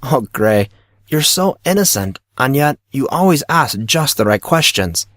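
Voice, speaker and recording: A man's voice: mid-range voice, big pitch swings, unhurried, a bit bright, dry recording, studio quality, voice pushed up. Man as a smug, slangy teenage best friend. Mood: amused. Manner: casual, knowing, uses dude and bro.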